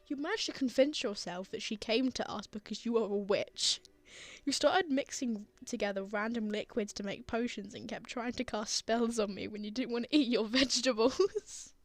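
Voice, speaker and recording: Woman as a soothing dad, higher-pitched voice. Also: moderate pace, dry recording, close to an everyday voice. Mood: playful, teasing. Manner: soothing, tender, earnest. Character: gentle, reassuring, teasing father comforting their frightened child. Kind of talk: ASMR comfort roleplay.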